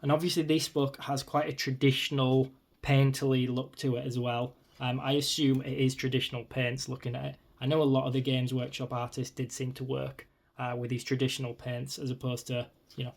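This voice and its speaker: A man's voice: mid-range voice, little pitch movement, fairly quick, gravelly. A man hosting his channel, chatting casually while flicking through an art book, his voice a little strained from a long day of talking, with frequent ums and asides. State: voice slightly strained, losing it.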